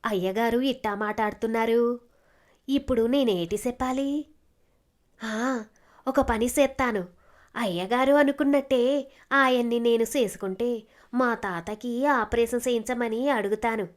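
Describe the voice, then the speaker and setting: Woman acting a high-voiced, anxious poor village girl. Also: unhurried, pauses often, close to an everyday voice. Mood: anxious pondering. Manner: rustic, humble, anxious. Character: poor, earnest young unmarried village woman. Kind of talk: dramatized moral story dialogue.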